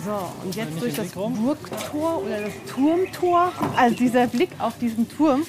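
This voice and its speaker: A woman's voice: fairly high pitch, lively intonation, a little slow. A woman chatting casually and with marvelling enthusiasm during an outdoor tour. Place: outdoors at a castle ruin. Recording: outdoor field recording with faint background noise.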